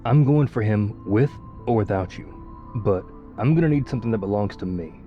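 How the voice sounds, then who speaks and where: An actor playing a tough, weary, loyal zombie apocalypse survivor in an intimate ASMR roleplay, speaking conversationally. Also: male voice, lowish voice, expressive, brisk, highs cut off, faint music behind, lightly acted. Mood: urgent.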